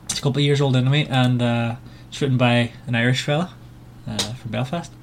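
A man with a mid-pitched voice chats as a podcast co-host about books. His phrasing is casual and a little halting, as if feeling his way through each thought. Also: gravelly, a little hiss or hum. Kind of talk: casual book club chat.

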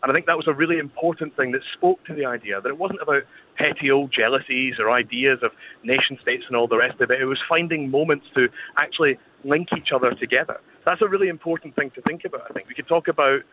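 Fast-talking Scottish man on a phone line. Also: mid-range voice. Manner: measured, thoughtful, frequent hesitant pauses. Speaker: historian guest. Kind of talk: conversational expert explanation on radio. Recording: tinny phone line with faint noise.

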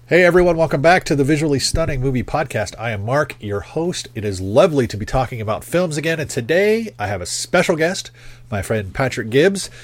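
A man's voice: medium pitch, lively intonation, brisk. A man hosting a casual movie podcast, his voice sounding stuffed up and nasal while recovering from nose surgery, talking in an easygoing way with frequent fillers. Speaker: podcast host.

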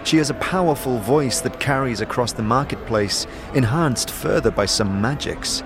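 Male storyteller for fantasy audio drama. Medium-pitched voice, scripted descriptive narration, clear music bed underneath. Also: moderate pace.

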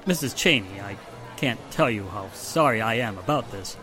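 Man acting police inspector, expressive mid voice, businesslike, old radio play, music and crowd behind. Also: fairly low pitch, normal speed, lightly acted. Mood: grave, official. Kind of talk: 1940s radio drama acting.

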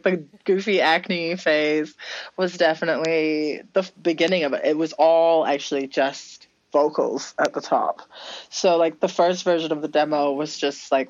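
A woman musician talking about her song in a casual interview, rambling and laughing, with a low voice. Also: moderate pace, dry recording, highs cut off. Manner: giggly, rambling with many fillers and restarts. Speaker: musician guest.

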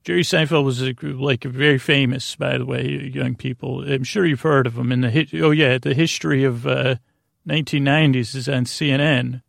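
Very creaky-voiced, rambling American man. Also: medium pitch, steady tone, no echo, studio quality. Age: middle-aged. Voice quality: very creaky, gravelly. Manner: meandering, halting, self-interrupting, whimsical. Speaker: podcast host. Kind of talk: rambling bedtime monologue to help listeners sleep.

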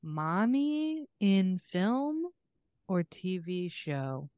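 A young woman with a lowish voice chats on a podcast in a dry, echo-free recording. She speaks informally and off the cuff, wandering through her thoughts as if talking to a friend. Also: very animated, drawn-out words, smooth, highs cut off. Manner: informal, rambling, thinking out loud. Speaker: podcast co-host. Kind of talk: casual unscripted chat with a friend.

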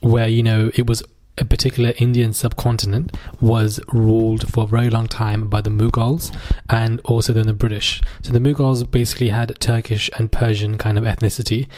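A man's voice: on the low side, level tone, a little fast, dark tone, slight background noise, rough recording. A man hosting a podcast, talking in an informal, emphatic and conversational way about social issues. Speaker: podcast host.

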